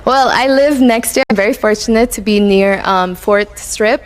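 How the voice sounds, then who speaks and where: A woman's voice: medium pitch, moderate pace, rough recording. Young woman in a pageant interview, earnest, slips tagalog words in. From Macau. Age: 20s. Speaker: pageant contestant. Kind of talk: answering interview questions.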